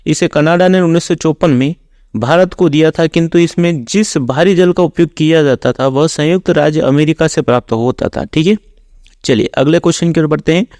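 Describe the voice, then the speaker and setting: A man's voice: fairly high pitch, brisk, darkish tone, no room sound, clean studio sound. A man working as an exam-prep teacher, reading out quiz questions and explaining the answers in an instructional, routine way.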